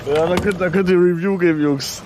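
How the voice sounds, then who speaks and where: Young male streamer, high-pitched, rambling slangy chat from a bike, street noise throughout. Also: fairly quick, low-quality recording. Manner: slangy, excitable, swears a lot. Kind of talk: live outdoor stream chat. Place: outdoors on city streets. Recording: outdoor street noise with traffic.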